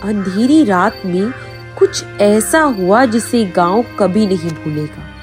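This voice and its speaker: A woman narrating a folk tale as a dramatic scripted story. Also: mid-range voice. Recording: faint music behind the voice.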